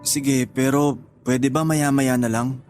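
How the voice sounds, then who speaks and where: A man's voice: mid-range voice, fairly even intonation, darkish tone, faint music behind, natural voice. Man acting Homer, sheepish, remorseful young boyfriend. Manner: apologetic, halting, terse. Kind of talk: Tagalog radio drama acting.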